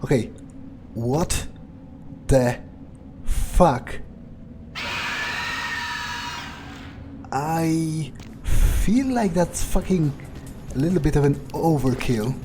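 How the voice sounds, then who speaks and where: A man playing a wary, foul-mouthed vampire in an improvised video game roleplay, thinking aloud in halting, muttered asides. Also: a little high, lively intonation, background noise, pitched above the performer's own voice. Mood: shocked. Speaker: gamer in an in-character playthrough.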